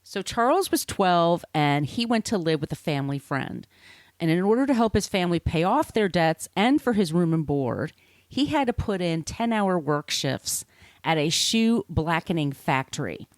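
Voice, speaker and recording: A woman's voice: on the low side, very animated, moderate pace, no echo, clean studio sound. A woman hosting a podcast, reading scripted narration with casual, irreverent asides. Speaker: podcast host.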